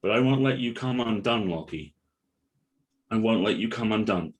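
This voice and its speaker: A man's voice: lowish voice, animated, moderate pace. A man reading dramatic scripted narration and stage directions as the narrator of a script read-through.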